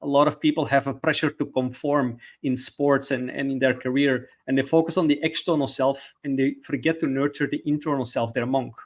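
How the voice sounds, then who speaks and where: Middle-aged man. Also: medium pitch. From Belgium. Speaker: podcast guest, leadership coach. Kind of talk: explanatory interview talk.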